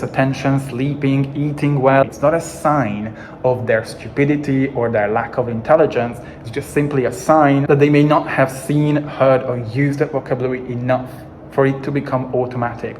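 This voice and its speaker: Hungarian guy coaching english learners, steady encouraging talk, mid pitch, bit of faint hiss behind. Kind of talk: motivational teaching monologue.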